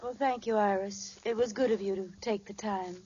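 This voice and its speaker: An actress playing a scheming, melodramatic housewife in a 1940s radio sitcom, theatrical and plaintive, on an old recording. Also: female voice, mid-range voice, fairly even intonation, moderate pace, warm tone, husky, close to an everyday voice. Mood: feigned weakness. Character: scheming, melodramatic housewife feigning a dramatic illness. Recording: muffled, very noisy old radio recording, audience.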